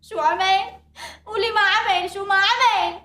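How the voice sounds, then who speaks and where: A woman's voice: very high-pitched, fairly even intonation, moderate pace, sharp tone. A woman playing a wailing, guilt-ridden mother. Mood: sobbing. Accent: Levantine Arabic. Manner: wailing, pleading, calling out. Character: guilt-ridden young mother who lost her son. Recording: echoey room from far off with music.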